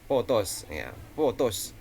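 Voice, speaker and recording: A man's voice: mid-range voice, very animated, slow, darkish tone, slight background noise. A man with a Javanese accent teaching an online lecture in a conversational way, asking rhetorical questions and answering them himself, with frequent fillers and tag questions. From Central Java, Indonesia.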